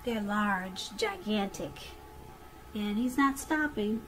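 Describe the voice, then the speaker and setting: A woman's voice: medium pitch, lively intonation, takes it slowly, a bit bright, a little hiss or hum. A woman giving a conversational tarot reading straight to the listener, repeating phrases for emphasis. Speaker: tarot reader.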